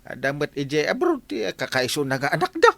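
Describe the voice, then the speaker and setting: Actor as a lively gossiping village man. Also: a little high, a little fast, creaky, no room sound, exaggerated voice. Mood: curious, gossipy. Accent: Ilocano. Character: animated gossiping village man swapping news. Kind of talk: Ilocano comedy radio drama acting.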